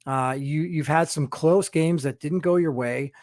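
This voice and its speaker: A man's voice: on the high side, unhurried, darkish tone, dry recording, clean studio sound. Man asking long winding questions. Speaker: interviewer host. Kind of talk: podcast interview.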